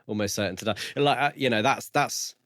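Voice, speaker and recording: A man's voice: mid-range voice, fairly quick, fairly bright tone, dry recording, studio quality. A man co-hosting a podcast, casually discussing a book in a tentative, musing way. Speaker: podcast host.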